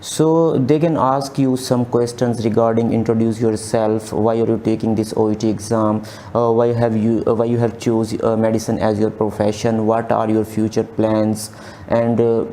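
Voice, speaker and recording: Man teaching exam tips, mellow voice. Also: fairly low pitch, level tone, moderate pace, slight background noise. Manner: instructive, repeats key points, frequent uh fillers. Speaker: OET exam tutor. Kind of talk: slide lecture. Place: small indoor room, webcam inset.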